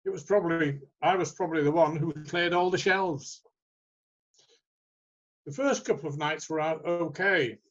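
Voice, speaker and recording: Male amateur storyteller, first-person scripted narration, fairly high pitch, muffled recording. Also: moderate pace, pauses often, fairly bright tone. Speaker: writer reading his own short story.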